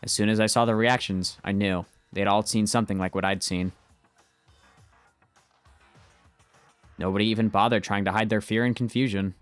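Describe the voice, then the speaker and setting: An earnest, anxious schoolboy voiced by a man with a fairly low voice tells his own story. It is amateur visual novel narration, recorded dry over soft music. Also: steady tone, quick pace, smooth, no room sound, close to an everyday voice. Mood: tense narration. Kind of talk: scripted first-person visual novel narration, amateur fan dub.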